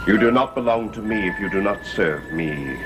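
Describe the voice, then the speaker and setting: A man's voice: lowish voice, animated, moderate pace, close to an everyday voice. A man playing the imperious, tormented master of a gothic hall in a TV soap, with formal, dramatic delivery. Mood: commanding, angry. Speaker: actor in a TV serial. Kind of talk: gothic soap opera acting. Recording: old TV soundtrack, music, hum.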